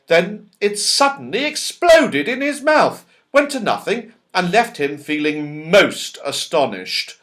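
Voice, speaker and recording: A man narrating a children's story aloud in an expressive, dramatic way. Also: sits high, slow, hardly any pauses. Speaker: audiobook reader.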